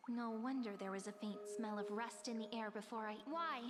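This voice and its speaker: An actress playing a gentle, observant young woman traveller in a video game, polite and tentative. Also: a little high, a little fast, noisy background, close to an everyday voice. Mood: concerned. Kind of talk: video game character acting.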